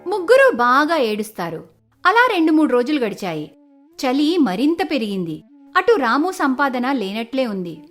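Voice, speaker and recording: A woman's voice: high voice, big pitch swings, moderate pace, a bit bright, faint music behind. A woman narrating a scripted moral story as its storyteller.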